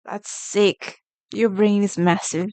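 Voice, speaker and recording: Smooth-voiced woman chatting on stream. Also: mid-range voice, steady tone, slow pace, dark tone, no echo, rough recording. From Brazil. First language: Portuguese. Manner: chatty, reacts to chat messages. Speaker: artist streamer. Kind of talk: casual live-stream chat while drawing.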